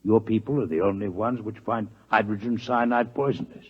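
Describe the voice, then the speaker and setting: An actor playing a courteous, scholarly alien doctor in a 1950s radio drama, speaking formally, precisely and gravely in a husky voice. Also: male voice, fairly low pitch, a little fast. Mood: grave, fascinated. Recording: muffled vintage 1950s radio recording.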